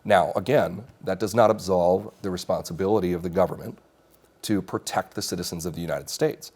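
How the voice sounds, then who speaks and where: A man's voice: low-pitched, brisk, a little mellow. A man in his thirties who grew up in Mexico, giving earnest, assertive answers as a candidate in a TV studio interview, often restarting his sentences. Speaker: congressional candidate. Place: small TV studio set.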